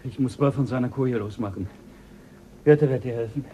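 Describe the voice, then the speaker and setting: An actor playing the commanding officer of a spaceship crew, giving urgent orders in a radio drama. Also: male voice, medium pitch, normal speed, dark tone, a little hiss or hum, close to an everyday voice, rough recording. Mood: tense. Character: ship commander Jell, tense and in charge. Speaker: crew leader.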